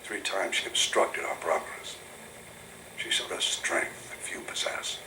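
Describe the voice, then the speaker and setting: Low, echoing, coldly reverent android. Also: male voice, fairly even intonation, unhurried, background noise. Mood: cold admiration. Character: cold, reverent android scientist, centuries old, admiring monsters. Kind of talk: scripted video game audio log.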